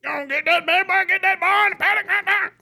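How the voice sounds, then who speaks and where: A man acts out a fussy rich horse rider for comedy. The voice is shrill, pitched above his already high talk, and bright, with a showy, bossy, commanding manner. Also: fairly quick, vocal fry, full character voice.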